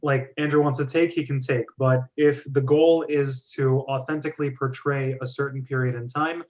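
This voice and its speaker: Guy running a group feedback chat, measured and wordy, kinda dull lo-fi sound. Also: medium pitch, fairly even intonation, darkish tone. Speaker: host of a script reading club. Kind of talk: group discussion, giving feedback.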